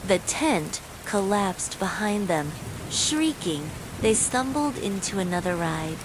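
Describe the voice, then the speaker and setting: A woman's voice: mid-range voice, slow. A woman reading a scripted horror bedtime story, with falling rain behind her. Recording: steady rain noise throughout.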